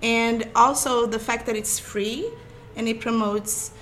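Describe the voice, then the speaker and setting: A woman from Brazil with a Brazilian Portuguese accent, a teacher answering interview questions thoughtfully, with frequent pauses and ums. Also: a little high, steady tone, a bit bright, slight background noise. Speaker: teacher guest.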